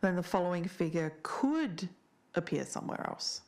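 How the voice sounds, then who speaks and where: A woman playing an earnest, faintly bitter podcast investigator, speaking in a measured, solemn way as if reading evidence aloud. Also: lowish voice, expressive, a little mellow. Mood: thoughtful. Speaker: podcast co-host. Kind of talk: scripted fiction-podcast narration.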